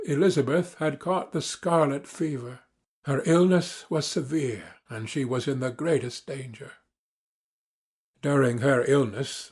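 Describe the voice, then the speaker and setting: A man reading classic literature as a dramatic audiobook narrator, formal, measured and earnest. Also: medium pitch, husky.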